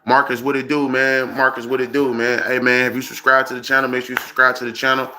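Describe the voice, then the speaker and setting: A man's voice: mid-range voice, level tone, fast talker, crowd noise. A man from Birmingham, Alabama, chatting loosely to viewers on a music livestream and leaning on "you know what I'm saying.". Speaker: independent music artist hosting a livestream. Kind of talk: casual livestream chat.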